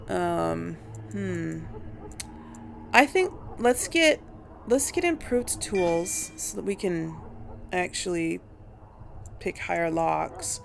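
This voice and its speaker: A woman's voice: on the low side, vocal fry. A woman giving casual game commentary, thinking aloud in a hesitant way. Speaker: video game player narrating a role play. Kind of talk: casual let's play commentary.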